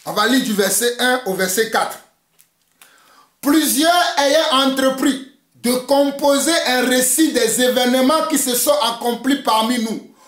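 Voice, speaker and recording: Man, fiery preacher, very high voice, repeats himself, echoey room. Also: a little slow, fairly bright tone. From Togo. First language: Mina. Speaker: pastor. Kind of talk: preaching sermon.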